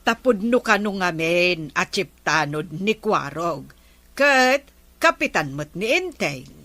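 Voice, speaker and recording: A woman's voice: lowish voice, big pitch swings, slow pace, pushed and bright, dry recording, exaggerated voice. A woman with an Ilocano accent playing a village captain's wife, a scolding, exasperated aunt in a comedy drama. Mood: concerned, gossiping.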